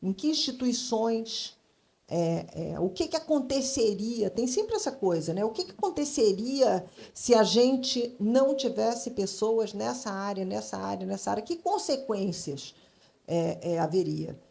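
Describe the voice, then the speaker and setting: A Brazilian woman speaking off the cuff on a panel, halting and emphatic, repeating phrases as she thinks aloud. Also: medium pitch, very animated, gravelly, warm tone. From Brazil, grew up in Brasília. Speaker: panel speaker, creative consultant in advertising. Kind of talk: spontaneous panel discussion.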